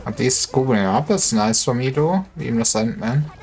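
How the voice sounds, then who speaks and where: A man's voice: medium pitch, animated, moderate pace, darkish tone. A man giving casual gameplay commentary on a livestream, musing wryly to himself. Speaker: game livestreamer.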